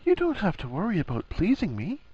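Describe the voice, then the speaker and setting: A man plays a young wife in a story reading, her voice lifted high and swooping expressively. She is earnest and gentle, coaxing and reassuring as she speaks. The sound is dry and a little dull. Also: wildly swooping pitch, brisk, breathy edge, dry recording, exaggerated voice. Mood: tender, reassuring.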